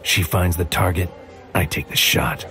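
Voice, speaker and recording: A man playing a brooding, paranoid hitman, delivering a deliberate, poetic inner monologue in a surreal audio drama. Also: low-pitched, sharp tone. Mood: brooding, haunted. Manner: deliberate, poetic, often dropping into whispers. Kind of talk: scripted noir-like audio drama narration. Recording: music under the voice. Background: music.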